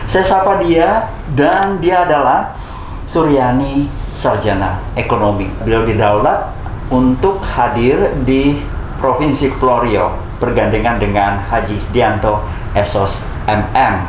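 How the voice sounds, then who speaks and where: A man hosting an interview show, talking in an animated way with big pitch jumps, slipping in English phrases and nodding along with short replies. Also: measured pace. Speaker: talk show host. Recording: echoey room with clear background noise.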